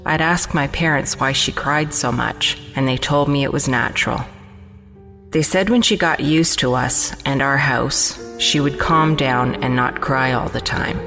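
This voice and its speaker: A woman's voice: a bass voice, measured pace, a bit bright. A woman reading a scripted first-person horror story told from a child's point of view. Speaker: story narrator. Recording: long reverb, prominent music bed.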